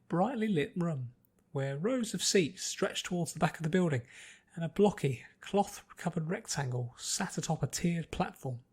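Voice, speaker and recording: A man's voice: fairly high pitch, breathy edge. A man reading scripted story narration as an audiobook narrator.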